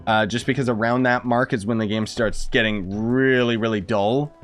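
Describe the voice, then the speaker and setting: A man's voice: mid-range voice, steady tone, moderate pace, faint music behind. A young man giving offhand, slangy live gameplay commentary while chatting to his viewers. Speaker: game streamer.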